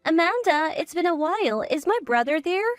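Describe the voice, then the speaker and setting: A woman's voice: very high-pitched, lively intonation, moderate pace, no echo, soft background music, voice pushed up. A woman voicing an upset, grieving sister-in-law in quoted dialogue within a narrated story. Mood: earnest.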